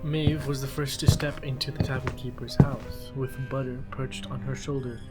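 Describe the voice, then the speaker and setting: A man's voice: medium pitch, flat delivery, moderate pace, over loud music. A man co-narrating an atmospheric scripted fiction podcast.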